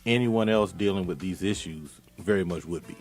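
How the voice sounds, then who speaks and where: A deep male voice with soft music underneath, belonging to a doctor in conversation. He explains in a measured, matter-of-fact way, with small hesitations. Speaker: doctor, guest.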